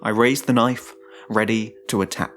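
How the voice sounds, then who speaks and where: A man giving a dramatic, suspenseful first-person narration of a horror story, in a deliberate manner. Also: fairly low pitch, steady tone, fairly bright tone. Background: music.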